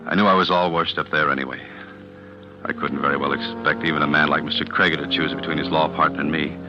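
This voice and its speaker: Very deep-voiced, uneasy young clerk. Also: male voice, level tone, fast, over music. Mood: uneasy, reflective. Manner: hesitant, plain-spoken, deferential. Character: earnest, uneasy young male office clerk narrating his trouble. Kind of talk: 1940s radio drama narration and dialogue. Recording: dull old radio recording.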